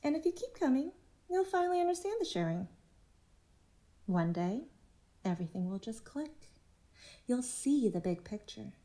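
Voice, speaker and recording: A manipulative female cult leader in an audio drama. Her voice is high and velvety soft, swooping through patronising, measured phrases like a controlling therapist. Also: big pitch swings. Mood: smug, coaxing.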